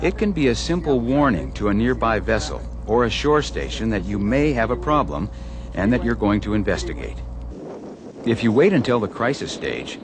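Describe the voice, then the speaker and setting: Male safety-video presenter: lively intonation, medium pitch, emphatic advisory read, clear rumbling noise behind. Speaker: instructional narrator. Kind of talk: scripted narration for a safety training video. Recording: noisy, with vehicle-like rumble.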